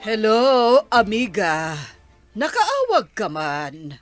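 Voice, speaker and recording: Slow, animated, worried grandmother, played by a woman. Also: medium pitch, soft background music, heavily acted. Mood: concerned. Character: worried grandmother-to-be, a concerned friend. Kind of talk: audio drama acting.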